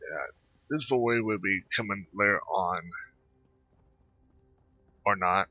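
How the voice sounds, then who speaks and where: A man giving casual gameplay commentary in a rambling, hesitant, halting way. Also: sits low, no room sound, lo-fi sound, faint music behind. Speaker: gamer narrating own gameplay.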